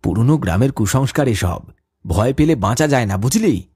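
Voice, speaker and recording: Man acting a cocky, animated village sceptic. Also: mid-range voice, brisk, breathy edge, no room sound, studio quality. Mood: impatient, dismissive. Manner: teasing, dismissive. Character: sceptical, mocking young village man, unafraid of ghosts. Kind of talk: Bengali cartoon ghost story dialogue. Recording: music behind the voice.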